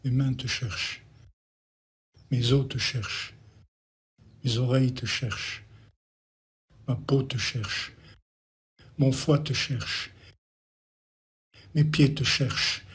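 A man reciting poetry as an incantatory litany, in short repeated phrases set apart by pauses. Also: medium pitch, steady tone. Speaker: poet.

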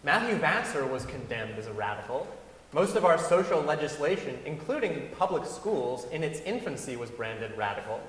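Echoey, deliberate man reading on stage. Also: on the high side, sing-song, fairly bright tone, a little hiss or hum. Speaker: reader in a staged dramatic reading. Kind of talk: dramatic reading of an essay on stage. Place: large concert hall stage, before an audience. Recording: echoey concert hall.

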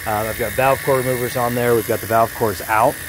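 A man's voice: medium pitch, steady tone, brisk. A man in his forties talking casually and explaining things to the camera like a working technician. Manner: conversational, explanatory. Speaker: HVAC technician. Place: outdoors in a yard beside an air conditioning unit. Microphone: phone at arm's length. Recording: outdoor phone recording with background noise.

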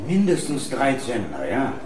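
An actor playing a flustered, weary, hesitant museum keeper in a German radio crime drama. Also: male voice, mid-range voice, wildly swooping pitch. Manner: hesitant, halting. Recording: echoey room, faint noise.

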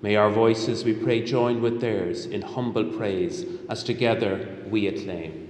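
A man in his fifties, a bishop reciting the prayers of Mass in a measured, solemn, formal way. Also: fairly low pitch, steady tone. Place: large hospital chapel, indoors. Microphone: microphone on altar stand. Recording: echoey chapel with long reverb.

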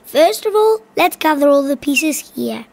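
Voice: sits high, very animated, measured pace, gravelly, darkish tone, heavily acted. An actor voicing an eager, polite little cube boy with a cheerful, helpful, childlike manner in a preschool cartoon. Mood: cheerful, curious.

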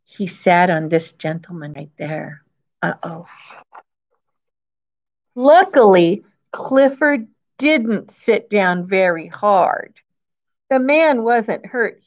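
A woman's voice: mid-range voice, expressive, takes it slowly, muffled recording. A woman in her fifties reads a picture book aloud to young children, adding simple explanations and repeating key phrases as a teacher does. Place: indoors, school classroom.

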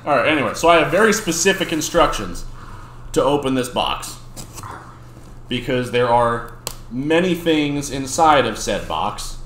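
A man's voice: medium pitch, big pitch swings, measured pace, a bit bright. A man in his thirties hosting a casual unboxing video, chatty and laughing often. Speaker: channel host. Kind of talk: casual chat while unboxing mail. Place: dim home room with gaming chairs. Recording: echoey room with faint noise.

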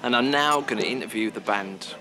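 A man's voice: mid-range voice, brisk, music in the background. A man of forty-two, a drummer, introducing himself jokily to camera in a backstage area. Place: large backstage area indoors.

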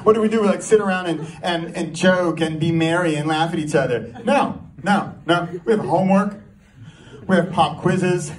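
A man with a fairly high voice performs stand-up comedy to a live audience. He tells his story in an animated way, often putting questions to the room. The mic sounds somewhat distant, with some crowd noise behind him. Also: normal speed. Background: audience crowd and laughter.

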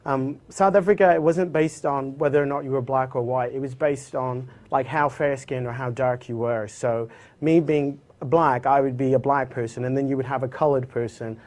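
A young man answering interview questions in a relaxed way on a small studio set, with many ums and uhs. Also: mid-range voice, moderate pace. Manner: relaxed, with frequent uh and um. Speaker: interview guest.

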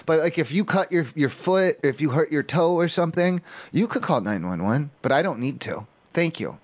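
A man making a prank call, rambling haltingly as a naive, stoned-sounding caller. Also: on the high side, animated. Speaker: prank caller, show host. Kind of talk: improvised prank phone call. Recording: muffled, dull lo-fi call audio.

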